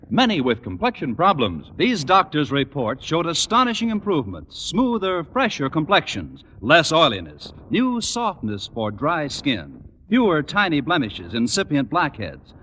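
A man's voice: on the high side, a bit bright, breathy edge. An American man announcing on old-time radio in a polished, emphatic style. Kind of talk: 1940s-50s radio announcing and sponsor pitch. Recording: noisy old radio broadcast, reverberant hall.

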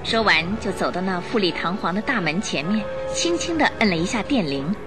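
A woman narrating a radio drama in an expressive, scripted storytelling style. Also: medium pitch, brisk, over music.

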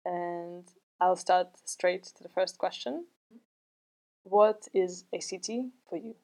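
A woman hosting a podcast, speaking in a slow, deliberate and measured way. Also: on the low side, vocal fry, mellow, dry recording. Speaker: podcast host. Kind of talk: podcast intro and interview.

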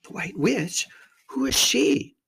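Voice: medium pitch, lively intonation, slow, gravelly, fairly bright tone, slightly rough, voice pushed up, heavily acted. A narrator playing a kind, anxious little girl who speaks in an earnest, polite, pleading way. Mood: gentle, earnest.

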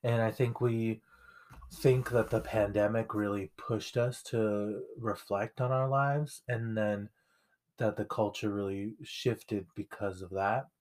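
A man's voice: on the low side, steady tone, slow pace, a little mellow. A young man co-hosting a casual conversation, speaking reflectively with frequent fillers. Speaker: podcast co-host.

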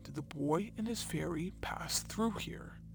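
A woman's voice: fairly low pitch, creaky, over music. A woman voicing a sinister hooded undead creature that questions its victim with cold, threatening menace. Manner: slow, threatening.

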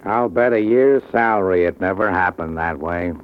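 An actor playing a blunt, skeptical police sergeant with an American accent, curt and dismissive, in an old radio drama. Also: male voice, deep voice, moderate pace, loud music behind the voice, close to an everyday voice. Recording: muffled narrow-band old radio recording.

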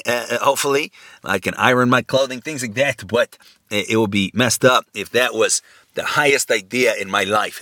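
A man's voice: mid-range voice, bright, forceful tone, no room sound, studio quality. A man giving an unscripted philosophical lecture, thinking aloud with many fillers and restarts and asking rhetorical questions. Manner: poses rhetorical questions, asks "right?".

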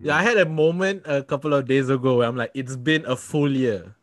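A young Singaporean man with a Singaporean accent, hosting a podcast in animated, teasing casual chat with stumbling restarts. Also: mid-range voice, fairly quick, no room sound, studio quality. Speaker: podcast host.

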